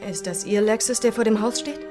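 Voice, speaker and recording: An actress playing a composed young police detective, questioning politely but insistently in a radio crime drama. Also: female voice, on the high side, brisk, over music, natural voice.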